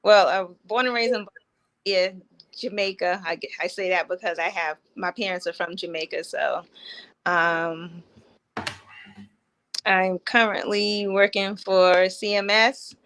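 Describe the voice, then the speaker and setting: A woman's voice: mid-range voice, fairly even intonation, a little slow, a bit bright, clear, resonant tone. A woman in her forties, a mother of four, answering interview questions in a modest, slightly hesitant way. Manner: modest, a bit hesitant, many fillers. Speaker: guest. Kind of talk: casual interview conversation.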